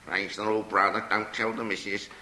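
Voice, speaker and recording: A man's voice: fairly low pitch, little pitch movement, normal speed, bright, forceful tone, reverberant, voice pushed down, poor audio. A man voicing a pious pet parrot that repeats its catchphrases in a comic story. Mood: preachy.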